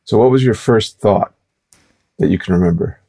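A man co-hosting a podcast, interviewing a guest in a casual, rambling way with quick asides. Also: fairly low pitch, warm tone.

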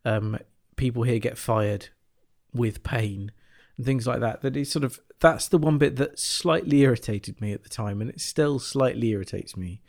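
A man giving his view in a casual review chat. His voice is mid-pitched with noticeable vocal fry, and he thinks aloud haltingly. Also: a little mellow. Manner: hesitant, thoughtful, halting. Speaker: podcast panellist.

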